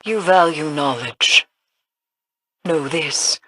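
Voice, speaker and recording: A woman playing a composed, stern, learned authority figure in a video game, measured and formal. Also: low voice, bright, forceful tone, a little hiss or hum, heavily acted. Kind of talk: video game character acting.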